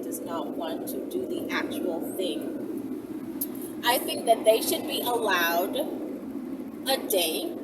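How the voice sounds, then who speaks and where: A woman chats casually to viewers in a blunt, slangy, playful way. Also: far from the mic. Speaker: vlogger answering viewer questions. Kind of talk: casual, rambling chat to viewers. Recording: noisy vehicle rumble, voice off mic.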